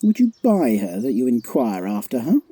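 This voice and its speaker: Cocky wry soldier bachelor, husky mellow voice, shakespeare radio play banter. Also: male voice, medium pitch, wildly swooping pitch, normal speed. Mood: wry, teasing. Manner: wry, theatrical, mock-serious.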